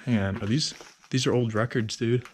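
A man's voice: mid-range voice, steady tone, normal speed, a little hiss or hum. A young man exploring an abandoned building with a friend, tossing out short, joking, laid-back remarks. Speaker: exploring companion. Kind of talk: casual banter. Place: large abandoned building, indoors.

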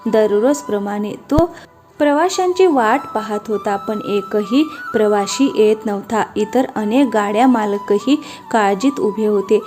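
A woman's voice: medium pitch, a little slow, darkish tone. A woman reading scripted narration for a cartoon moral story. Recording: music behind the voice.

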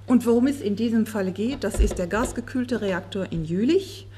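A woman working as a conference interpreter, turning a speaker's words into steady, fluent speech at a table microphone in a conference room. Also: medium pitch, normal speed, a little hiss or hum. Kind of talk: consecutive interpreting.

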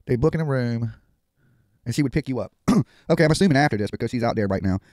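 Fast-talking middle-aged man, blunt and streetwise. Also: mid-range voice, lively intonation, a little mellow, dry recording, clean studio sound. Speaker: livestream host reacting to a live video. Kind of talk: casual live commentary. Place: indoor home studio. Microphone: headset.

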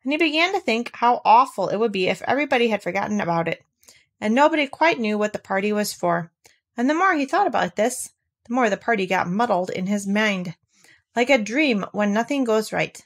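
A woman reading a children's storybook aloud, with expressive, varied delivery. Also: mid-range voice, a little fast. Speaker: reader of children's books. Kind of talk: scripted storybook read-aloud narration.

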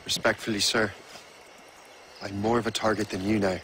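An actor playing an earnest young fighter who speaks directly. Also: male voice, lowish voice, level tone, moderate pace, a bit bright, a little hiss or hum, natural voice. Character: young Irish-born assassin turned ally, earnest.

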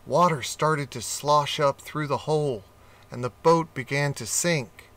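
A man reading a children's animal story aloud in a slow, gentle, expressive way. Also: fairly high pitch. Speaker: audiobook reader.

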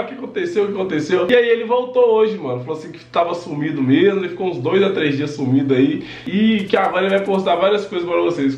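Fast-talking young man, roomy sound. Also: fairly high pitch, darkish tone, highs cut off. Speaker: YouTube host of a funk music news channel. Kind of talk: casual slangy presenting to camera. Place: small indoor room with desk. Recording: roomy echo.

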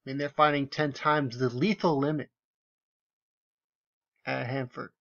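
A man delivers casual commentary over screenshots in an incredulous, sarcastic tone, punching his asides with emphasis. His voice sits in an ordinary middle pitch, and the recording sounds slightly dull and lo-fi. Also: steady tone, a little slow, vocal fry, fairly bright tone. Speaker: video commentator.

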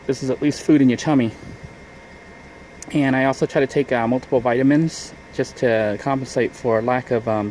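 A man's voice: medium pitch, a little hiss or hum, rough recording. A man vlogging outdoors beside a van, talking casually through his cooking with lots of fillers. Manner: relaxed, rambling with many fillers. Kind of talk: casual how-to narration while cooking.

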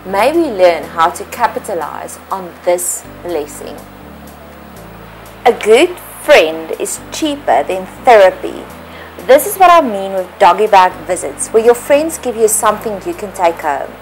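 A woman's voice: medium pitch, big pitch swings, unhurried, faint music behind, rough recording. Earnest Afrikaans-accented woman blessing listeners. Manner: earnest, blessing-giving, careful phrasing with small slips. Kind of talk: read devotional voice-over.

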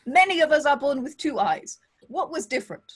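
A woman's voice: high-pitched, animated, a little fast. A woman in her thirties hosting a livestream interview over a video call, bubbly and playful with frequent jokes. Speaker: podcast host. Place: home studio, indoors.

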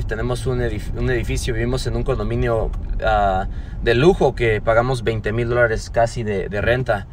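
A man's voice: medium pitch, a little hiss or hum. Earnest man talking in a car. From Los Angeles, USA. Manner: earnest, rambling, many fillers and restarts. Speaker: motivational vlogger. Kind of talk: casual motivational talk to camera. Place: inside a parked car. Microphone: phone at arm's length.

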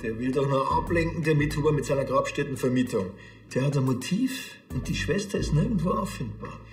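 A man's voice: mid-range voice, expressive, a little fast, echoey room, soft background music, lightly acted. An actor playing a blunt policeman in a TV crime comedy, with a Bavarian accent and an offhand, sardonic delivery. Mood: pressing.

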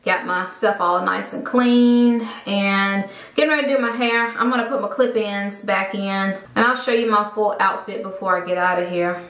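A woman's voice: medium pitch, moderate pace, few pauses, fairly bright tone. A woman in her thirties with an American accent, chatting casually and informally to the camera as a vlogger. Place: parked car and rooms at home. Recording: some background noise.

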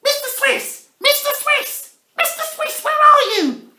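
A man's voice: very high-pitched, animated, unhurried, noisy background, pitched above the performer's own voice, heavily acted. A man voicing a mischievous, easily riled young boy in a picture-book story, with exaggerated delivery. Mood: frantic calling. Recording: roomy home camera recording.

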